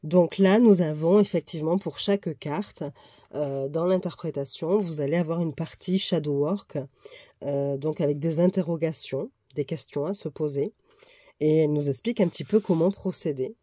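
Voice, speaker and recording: A woman's voice: low voice, normal speed, dark tone, husky, no echo, studio quality. Congested French woman reviewing a tarot deck. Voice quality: slightly nasal. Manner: chatty, hesitant with fillers and self-corrections. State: congested, has a cold. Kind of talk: casual book review, reading passages aloud.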